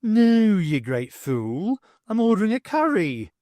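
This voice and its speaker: A gleefully wicked pantomime vizier, acted out by a man, intones theatrically in a storybook. His fairly high voice swoops up and down. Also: very animated, slow pace, warm tone, dry recording, heavily acted. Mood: exasperated.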